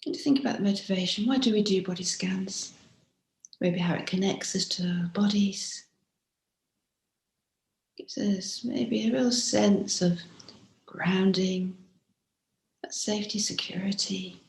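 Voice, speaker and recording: A woman guiding a body scan meditation as a teacher, speaking gently and softly, unhurried, with frequent pauses and breathy phrase endings. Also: medium pitch, steady tone. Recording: roomy, echoing room sound.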